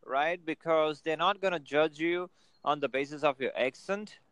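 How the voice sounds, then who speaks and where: Smooth-voiced man giving advice. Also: fairly high pitch, normal speed, fairly bright tone, no echo. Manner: fillers of "you know" and "right?" throughout. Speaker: guest. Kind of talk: casual motivational chat.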